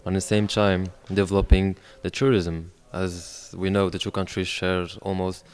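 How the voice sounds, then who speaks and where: A man putting interview questions in a hesitant, measured way. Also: deep voice, little pitch movement, a little mellow, a little hiss or hum.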